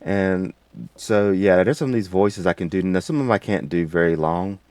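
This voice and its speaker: A man hosting a casual, unscripted software tutorial in a rambling, folksy way. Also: low voice, normal speed, dry recording. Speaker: tutorial host.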